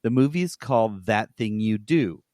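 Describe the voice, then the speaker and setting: A man's voice: fairly low pitch, expressive, normal speed, a little mellow, no echo, studio quality. A man co-hosting a podcast, giving a casual, conversational recommendation and repeating points for emphasis. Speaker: podcast co-host. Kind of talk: casual review-style podcast chat.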